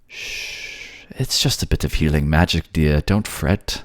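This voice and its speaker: A man's voice: very deep voice, takes it slowly, dry recording, clean studio sound. A man playing a suave, manipulative fantasy ruler who coaxes his captive in an intimate ASMR audio drama. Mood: tender teasing. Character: suave manipulative male water-folk ruler, soothing captor.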